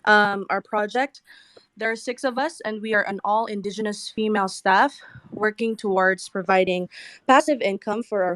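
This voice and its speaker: Woman from Hawaii giving a steady pitch. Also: no echo. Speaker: project founder pitching. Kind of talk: prepared pitch.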